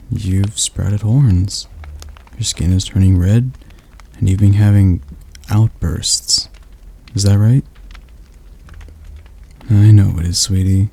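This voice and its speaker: A gentle male demon tutor, voiced by a man in an ASMR roleplay, his tone dark and mellow. He talks softly and slowly, reassuring throughout, with a slight stutter. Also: on the low side, creaky, slightly rough, exaggerated voice. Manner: soft, slow, soothing delivery.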